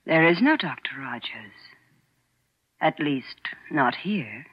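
A woman's voice: sits low, sing-song, slow, husky, full character voice. A woman playing a cold, mysterious nurse in a 1940s radio drama, detached and cryptic. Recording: loud hiss, dull old radio broadcast.

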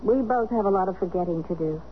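An actress playing an anxious, exasperated, estranged American wife in a 1970s radio drama. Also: female voice, medium pitch, fast talker, natural voice. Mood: weary, tense. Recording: muffled, hissy 1970s radio drama recording.

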